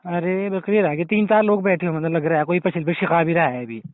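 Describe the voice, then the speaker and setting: A high-voiced, rapid-talking man with a Kumaoni accent, pestering the other person with jokey, persistent questions. The sound is thin and phone-like. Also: fairly even intonation, dry recording. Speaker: prank caller. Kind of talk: comedy prank call. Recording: tinny phone line.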